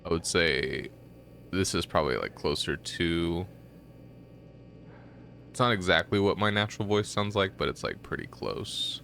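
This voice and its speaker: A man's voice: deep voice, unhurried, gravelly, pauses often, a little hiss or hum. A male streamer giving casual, rambling gameplay commentary with a nasal, congested voice, as if he has just woken up. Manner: rambling, relaxed, many fillers.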